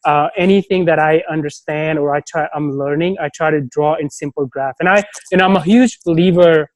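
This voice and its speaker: A man's voice: on the high side, normal speed, no room sound. A man, not a native English speaker, chatting as an interview guest, rambling with many fillers and restarts. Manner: rambling, heavy on "you know" and restarts. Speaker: guest, startup advisor. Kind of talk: casual interview chat.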